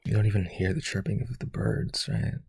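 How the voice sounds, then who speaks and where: Male actor as needy aristocrat vampire for roleplay audio: deep-leaning, heavily raspy, pleading, halting, desperate to please. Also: very animated, a little mellow, no room sound, clean studio sound, exaggerated voice. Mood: lonely, earnest. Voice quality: husky, creaky. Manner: hesitant, pleading, eager to please. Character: lonely rich male aristocrat vampire, eager, clingy yandere suitor.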